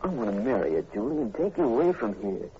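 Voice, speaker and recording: A man's voice: mid-range voice, big pitch swings, quick pace, mellow, natural voice. An actor playing an indignant young country man from Louisiana with a Southern American accent and folksy, earnest speech in a 1940s radio drama. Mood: indignant, protective. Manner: folksy, ungrammatical, earnest drawl. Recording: muffled old radio recording with faint hiss.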